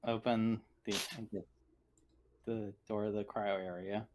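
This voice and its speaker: A man takes part in a tabletop game as one of the players. His voice sits a bit low. He talks in a casual, conversational way. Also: little pitch movement, unhurried, a little hiss or hum.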